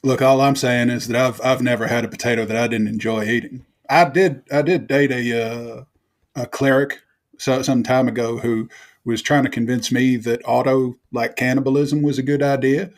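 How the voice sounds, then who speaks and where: A man's voice: mid-range voice, fairly even intonation, moderate pace, lightly acted. A man playing an easygoing, opinionated water genasi monk in a tabletop game, musing at length in a folksy way. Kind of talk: improvised tabletop role-play.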